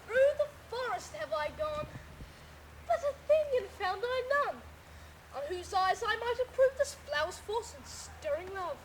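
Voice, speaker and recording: A woman playing a mischievous fairy servant, chanting rhyming verse with brisk eagerness. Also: very high voice, sing-song, a little hiss or hum, heavily acted, rough recording. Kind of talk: Elizabethan verse drama.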